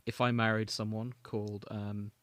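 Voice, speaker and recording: A man's voice: on the low side, little pitch movement, moderate pace, fairly bright tone, no echo. Man podcasting, very dry close sound. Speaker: podcast co-host. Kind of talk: casual chat about fanfiction, with passages read aloud.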